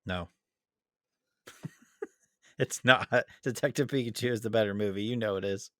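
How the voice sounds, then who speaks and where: A man's voice: fairly low pitch, measured pace, sharp tone, dry recording, clean studio sound. A man co-hosting a podcast in casual debate, easygoing as he prompts his partner. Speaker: podcast co-host.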